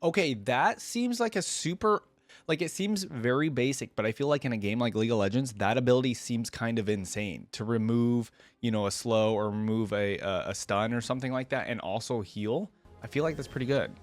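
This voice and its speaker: A man's voice: mid-range voice, brisk, no echo. A man hosting a reaction video, giving casual commentary and rambling as he thinks aloud.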